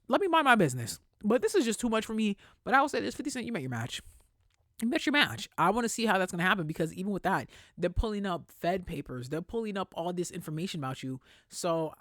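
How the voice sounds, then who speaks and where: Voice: medium pitch, fairly bright tone, no room sound, clean studio sound. A podcast host giving casual, opinionated celebrity commentary in a conversational, emphatic way with plenty of slang.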